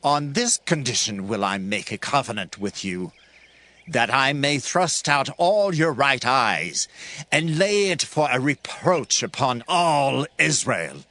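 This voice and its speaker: A man playing a cruel, taunting warlord in a dramatized scripture audio drama, with a drawn-out theatrical delivery. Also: mid-range voice, very animated, bright, forceful tone, no room sound, exaggerated voice. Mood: cruel, menacing. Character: menacing, scornful male warlord, cruel and taunting.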